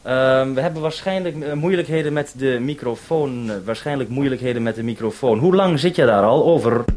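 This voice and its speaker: A man's voice: medium pitch, a little fast, a little mellow, slight background noise. A man speaking as a radio operator, using call procedure and repeating phrases to be understood. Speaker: radio programme maker, base operator. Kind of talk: two-way radio procedure talk.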